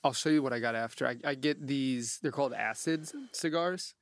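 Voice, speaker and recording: A man's voice: medium pitch, a little fast. A young man with a mid-range voice hosts a podcast in a loose, rambling manner, swearing casually and laughing a lot. His voice is recorded close and dry, with no room echo. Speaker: podcast host, producer.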